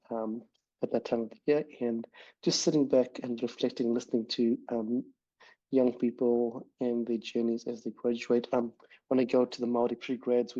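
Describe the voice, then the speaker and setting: Male podcast host, warm and low-key, casual rambling delivery, muffled lo-fi audio. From immigrant to New Zealand. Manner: relaxed, rambling with frequent ums and restarts. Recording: dull lo-fi audio.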